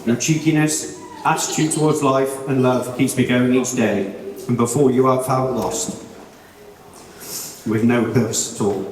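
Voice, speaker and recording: Groom giving a heartfelt speech, far off. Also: male voice, medium pitch, steady tone, measured pace, pauses often, a little hiss or hum. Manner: heartfelt with dry jokes. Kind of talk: wedding speech. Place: large reception room at a wedding. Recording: echoey hall, voice from far off.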